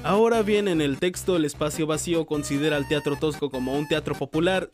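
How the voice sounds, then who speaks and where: Male comedy host, Mexican accent, slightly high voice, rambling and self-mocking, music underneath. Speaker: video creator and host. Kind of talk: comedic explainer narration. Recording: music behind the voice. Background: background music.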